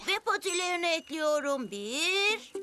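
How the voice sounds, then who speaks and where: A woman's voice: extremely high pitch, sing-song, unhurried, exaggerated voice. A woman voicing an upbeat, helpful young male character who teaches drawing step by step in a preschool cartoon. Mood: cheerful, instructive. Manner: clear, simple instructional phrasing. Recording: loud music behind the voice.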